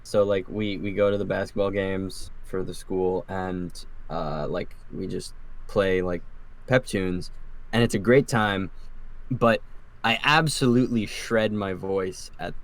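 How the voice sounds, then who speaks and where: A man chatting casually on a podcast, excitable and rambling, laughing often as he talks. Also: sits low, a little slow, rough recording. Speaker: podcast co-host, voice actor. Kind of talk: casual chat.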